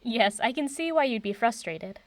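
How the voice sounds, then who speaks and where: Actress for eminent older female doctor, audio drama. Composed, kind, wry; lively intonation, dry close sound. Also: fairly high pitch, no echo, natural voice. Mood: understanding, reassuring. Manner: composed, measured, formal.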